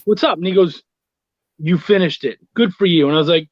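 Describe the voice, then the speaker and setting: A man's voice: on the high side, fairly quick. A man chats informally as a guest on a talk show. His sound is very dry, with little room echo. The audio is a little dull and lo-fi, like a call. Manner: conversational, informal. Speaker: guest, comics writer, editor and reviewer. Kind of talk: casual chat interview.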